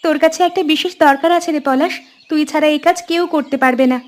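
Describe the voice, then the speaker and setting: A woman's voice: high-pitched, fast talker, lightly acted. A woman voicing a friendly, enterprising village housewife, coaxing and familiar, in cartoon dialogue. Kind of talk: animated story dialogue.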